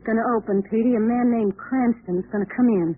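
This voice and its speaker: A woman's voice: on the high side, a little fast, husky. A woman playing a scheming, increasingly frantic murderess in a 1940s radio crime drama, coaxing and melodramatic. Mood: tense, impatient. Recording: scratchy, narrow-band old radio broadcast.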